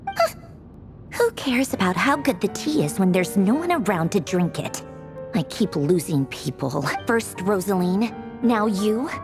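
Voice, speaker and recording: A woman's voice: medium pitch, sing-song, unhurried, music in the background. An actress playing a haughty, sardonic young female villain officer in a video game, dismissive and theatrical, with wistfulness underneath. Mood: bitterly wistful.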